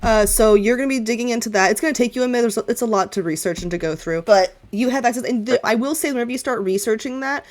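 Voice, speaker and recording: A woman's voice: a little high, fast talker, few pauses. A woman running a tabletop game, improvising narration as game master and talking with her players.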